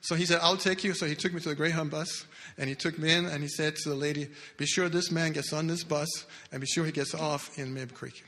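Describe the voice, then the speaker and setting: A man's voice: on the high side, fairly even intonation, bright, forceful tone, a little hiss or hum. Earnest older man in an echoey hall. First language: not English. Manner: earnest storytelling, halting restarts. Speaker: church speaker giving testimony. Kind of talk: personal testimony talk. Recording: echoey hall with long reverb.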